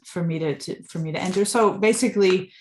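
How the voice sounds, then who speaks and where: A woman's voice: lowish voice, fairly quick, a little mellow, a little hiss or hum. A woman from New York being interviewed, explaining at length with many restarts. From New York, USA. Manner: fluent but full of fillers and restarts, explanatory. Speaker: guest. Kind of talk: interview storytelling.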